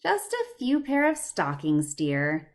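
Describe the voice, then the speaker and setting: A woman's voice: low-pitched, wildly swooping pitch, takes it slowly, exaggerated voice. A woman playing an effusive, polite society lady in a 1950s-style detective radio play, with a mannered delivery. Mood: gushing.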